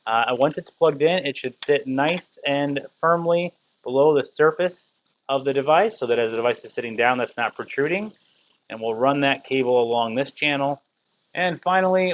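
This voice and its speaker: A man's voice: medium pitch, fairly bright tone, low-quality recording. A man in his thirties giving a casual, methodical product setup walkthrough as a tech reviewer. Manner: methodical, informal step-by-step explaining.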